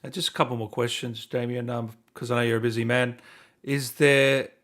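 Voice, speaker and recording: A man's voice: mid-range voice, little pitch movement, fairly quick, fairly bright tone. A man hosting an interview in a casual, chatty style. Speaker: interviewer, host.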